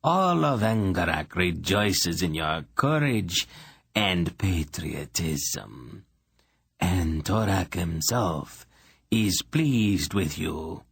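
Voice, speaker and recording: Man doing a purring sinister priest, odd foreign accent, slow, low husky voice. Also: very animated, a bit bright, dry recording, full character voice. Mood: silkily menacing. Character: sinister male priest recruiter, silky and menacing.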